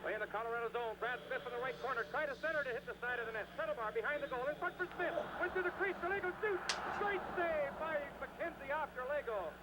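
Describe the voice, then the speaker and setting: High-pitched man calling hockey on radio. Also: quick pace, few pauses. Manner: excitable, rising on scoring chances. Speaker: play-by-play hockey commentator. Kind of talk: live radio sports commentary. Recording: noisy vintage 1970s radio broadcast.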